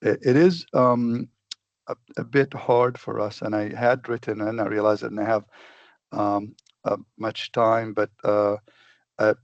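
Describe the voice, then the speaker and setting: A man speaking on a webinar panel, talking haltingly with many fillers and restarts. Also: medium pitch, dry recording, dull audio. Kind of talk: unscripted remarks on a webinar. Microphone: computer mic on a video call.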